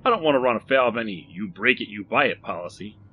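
A man's voice: on the low side, lively intonation, fast talker, narrow, tinny audio. A man voicing a pushy, smug salesman in an audiobook, slick and chummy with a taunting edge. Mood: mocking. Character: Rodney, pushy smug salesman, mocking and needling. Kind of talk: audiobook character acting.

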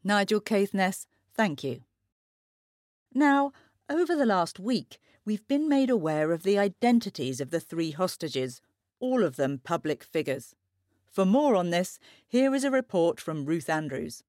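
A woman's voice: mid-range voice, sing-song, darkish tone, no echo, studio quality. A woman playing a composed, probing news anchor conducting a formal broadcast interview in an audio drama. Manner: formal, measured questioning.

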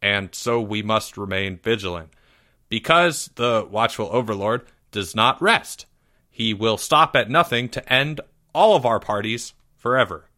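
A man voicing a solemn, self-important schoolboy security chief in a grave, pompous and deliberate manner. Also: on the low side, sharp tone, no echo, studio quality. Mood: grave warning.

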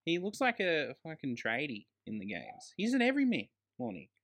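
A man's voice: a little high, wildly swooping pitch, a little fast, creaky, a bit bright. A man co-hosting a podcast, chatting casually about films as an exasperated fan, with slang and swearing. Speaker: podcast co-host. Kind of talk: casual film review chat.

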